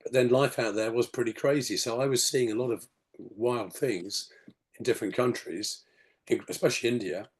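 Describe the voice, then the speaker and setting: A man in his sixties with a gravelly, slightly hoarse voice, rambling through his story on a video call with many "you know"s. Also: medium pitch, steady tone, normal speed. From England. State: hoarse after a detox. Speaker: podcast guest. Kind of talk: casual storytelling interview.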